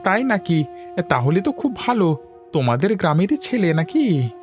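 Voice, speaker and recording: A man voicing an aggrieved village grocer and worried father in a cartoon, with a creaky voice. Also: sits high, sing-song, moderate pace, faint music behind. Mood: hopeful, worried. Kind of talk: Bengali cartoon dialogue.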